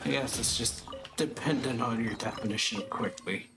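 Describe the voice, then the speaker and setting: A man's voice: mid-range voice, normal speed. A man giving casual let's play commentary as a gaming streamer, muttering short reactions and often repeating phrases. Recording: game music behind the voice.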